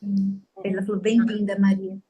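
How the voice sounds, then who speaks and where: A woman with a Brazilian accent, a native Portuguese speaker, informally introducing and translating in halting non-native English. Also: mid-range voice, monotone, breathy edge, dull audio. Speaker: participant translating for a guest.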